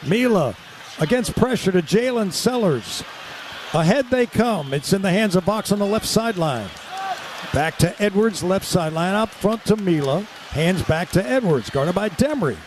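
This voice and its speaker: A man calling live radio basketball play-by-play in clipped, excitable running descriptions. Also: high voice, normal speed. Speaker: play-by-play announcer. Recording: courtside with loud crowd and gym noise. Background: crowd and arena ambience.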